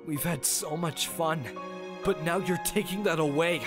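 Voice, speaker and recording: A man's voice: on the high side, measured pace, natural voice. A man voicing an earnest, impassioned teenage schoolboy in an anime fandub, pleading for his friend. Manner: hesitant, then impassioned. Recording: music behind the voice.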